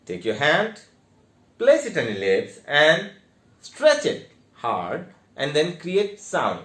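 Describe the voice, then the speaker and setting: A man with an Indian accent giving a theatre lesson in a deliberate, step-by-step way with emphatic stressed words. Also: fairly high pitch, huge pitch swings, a bit bright, slight background noise. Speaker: theatre teacher. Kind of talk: instructional online lesson with demonstrations. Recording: echoey, reverberant room.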